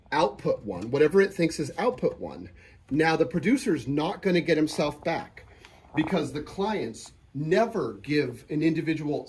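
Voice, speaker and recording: Slightly husky man giving a tech tutorial. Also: fairly high pitch, a little mellow. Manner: conversational, explanatory, repeats and rephrases points. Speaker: product tutorial presenter. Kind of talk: informal hands-on software and hardware demo.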